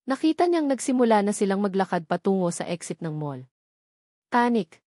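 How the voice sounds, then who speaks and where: A woman's voice: mid-range voice, darkish tone, no room sound, studio quality. A woman with a Filipino accent reads a dramatic romance story as scripted narration.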